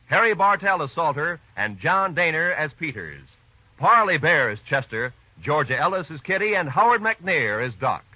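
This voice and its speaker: A man's voice: a little high, no room sound. An American man reading scripted credits as a 1950s radio announcer. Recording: dry, dull vintage radio recording.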